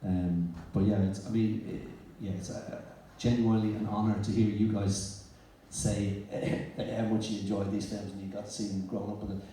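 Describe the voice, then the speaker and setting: A man's voice: fairly low pitch, little pitch movement, normal speed, hardly any pauses, dark tone, slight background noise. A man, a film director, talking thoughtfully and modestly with some hesitation as a guest on a live panel. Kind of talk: live onstage panel talk. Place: large indoor venue before an audience. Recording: echoey hall from far off.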